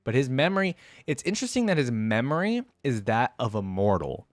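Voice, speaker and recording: A man's voice: mid-range voice, sing-song, brisk, no room sound, clean studio sound. A man hosting a reaction video, giving casual commentary and musing aloud in a conversational way.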